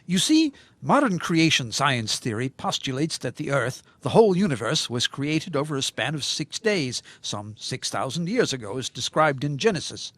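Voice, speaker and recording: An actor playing a pompous crackpot creationist in an audio comedy, speaking in a pedantic, formal, grandiose manner as an interview guest. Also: male voice, mid-range voice, big pitch swings, moderate pace, few pauses, a bit bright, no room sound. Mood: earnest lecturing. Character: pompous male crackpot creationist with messianic self-belief. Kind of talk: audio comedy drama, mock radio interview.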